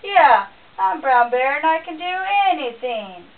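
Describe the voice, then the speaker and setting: A booming, bragging bear who drags out every boast, as a woman puts him on. The sound is thin and phone-like, and it comes from a few steps away from the mic. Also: big pitch swings, measured pace. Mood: boastful. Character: boastful big male bear, loud and proud. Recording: tinny lo-fi recording from a distance.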